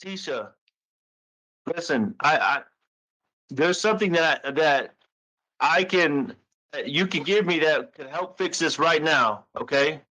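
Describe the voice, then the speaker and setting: A man in a private phone conversation, questioning in a halting, coaxing way, often repeating and rephrasing himself. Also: fairly high pitch, lively intonation, pushed and bright. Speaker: caller questioning the other speaker. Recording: recorded phone call. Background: recorded phone call.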